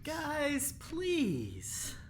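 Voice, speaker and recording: A woman's voice: high-pitched, wildly swooping pitch, very slow, a little hiss or hum, exaggerated voice. A woman playing an anxious, eager-to-please prison assistant in an audio drama. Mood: nervous.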